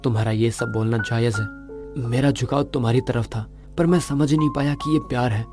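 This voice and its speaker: A man's voice: medium pitch, a little fast, lightly acted. A man playing an earnest, brooding young suitor in a dramatic audio romance. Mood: pleading, remorseful. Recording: music under the voice.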